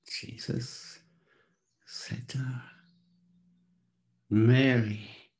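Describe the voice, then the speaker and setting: Guy in his 60s reading scripture dramatically, really slow, gravelly, solemn, close mic. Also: mid-range voice. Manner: solemn, theatrical, with hushed pauses. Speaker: scripture reader. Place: small room at home. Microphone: close studio condenser with pop filter.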